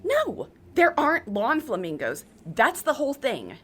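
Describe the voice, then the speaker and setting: A woman's voice: high-pitched, wildly swooping pitch, measured pace, breathy edge, exaggerated voice. A woman playing an opinionated, aggrieved suburban busybody, emphatic and huffy, in a radio comedy. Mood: aggrieved, resigned. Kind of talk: naturalistic radio comedy acting.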